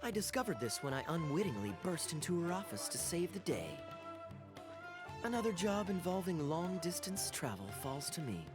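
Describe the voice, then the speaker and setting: An actor playing a suave, teasing young knight in a video game, with a smooth, wry, theatrical delivery. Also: male voice, on the high side, big pitch swings, normal speed, over music. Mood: wry, easygoing. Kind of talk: video game character dialogue.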